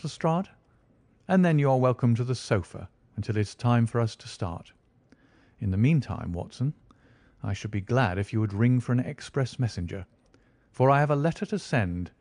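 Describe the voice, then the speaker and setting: Measured male detective, dry wit, quietly sure of himself, read by a male narrator. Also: medium pitch, no echo. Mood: calm, assured. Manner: calm, dry, quietly confident. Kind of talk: audiobook character voice.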